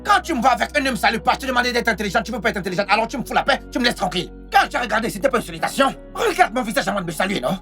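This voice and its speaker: A man's voice: extremely high pitch, expressive, rapid-fire, vocal fry, hardly any pauses, pushed and bright, faint music behind, heavily acted. A man playing a volatile, scheming husband in a film melodrama, repeating himself and stammering through his words. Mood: angry, agitated. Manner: repetitive, stammering delivery. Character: volatile, scheming unfaithful husband with a short temper.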